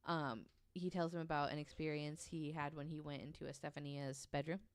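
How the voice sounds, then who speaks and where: A woman's voice: deep voice, level tone, brisk, clear, resonant tone. A woman telling a true story casually on a podcast, reading quotes aloud in an informal, hesitant way.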